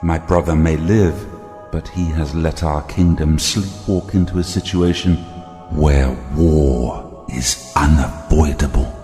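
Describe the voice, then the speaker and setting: A man's voice: rumbling low, slow. An actor playing a cold, proud, inhuman Night King in an audio drama, commanding and imperious. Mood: grave resolve. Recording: echoey hall-like reverb under loud music.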